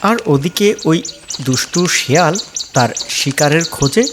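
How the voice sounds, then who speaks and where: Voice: medium pitch, lively intonation, measured pace, a little hiss or hum. A narrator reading a scripted children's story. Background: background music.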